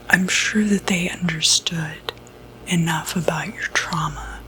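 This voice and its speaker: A woman playing a gentle, reassuring doctor who treats demonic possession, in a hushed, soothing ASMR medical roleplay. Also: on the low side, a little hiss or hum, low-quality recording. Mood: sympathetic. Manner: hushed, unhurried, hesitant, soothing.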